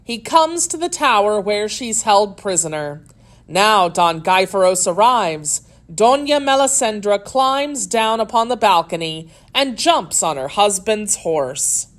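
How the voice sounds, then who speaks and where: A woman's voice: mid-range voice, very animated, measured pace. A woman voicing a young boy who narrates a puppet show in a storybook, earnest, dramatic and exclamatory. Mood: earnest announcing.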